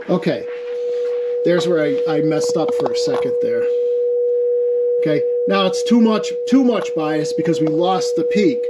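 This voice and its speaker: A man giving an informal, hands-on technical demonstration as an amateur experimenter, explaining as he goes and checking in with the viewer. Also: high-pitched. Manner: explanatory, checks in with "okay" and "right". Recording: clear steady background noise.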